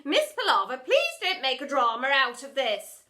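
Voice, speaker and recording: A woman's voice: sits high, huge pitch swings, normal speed, sharp tone, heavily acted. A woman voicing an imperious, posh headmistress in a children's storybook, pompous, commanding and exasperated. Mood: bossy, alarmed. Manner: pompous, commanding, refers to herself as "one".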